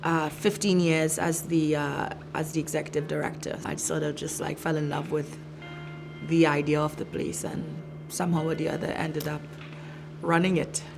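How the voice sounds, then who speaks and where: A woman's voice: low-pitched, flat delivery, normal speed, gravelly, over music. A middle-aged woman from Trinidad and Tobago, a filmmaker reflecting on her work in a thoughtful interview with many fillers and restarts. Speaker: filmmaker, arts director.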